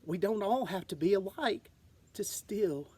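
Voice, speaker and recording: A storyteller reading a picture book aloud to children, gently and simply explaining as they go. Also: medium pitch, expressive, a little slow, slightly rough. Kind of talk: expressive picture-book narration.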